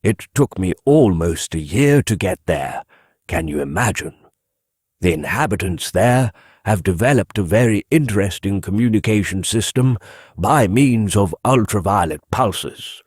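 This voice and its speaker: Humble, chummy old man, acted by a male narrator, husky and lowish, rambling through an audiobook monologue. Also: animated, darkish tone, dry recording, studio quality. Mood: reminiscing. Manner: rambling, chummy, drawn-out delivery. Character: chatty old male civil servant, folksy and humble.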